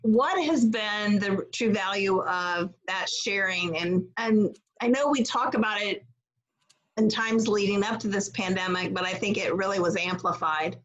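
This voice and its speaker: A woman's voice: medium pitch, measured pace, a bit bright, muffled recording. A woman interviewer talking casually and conversationally with a guest.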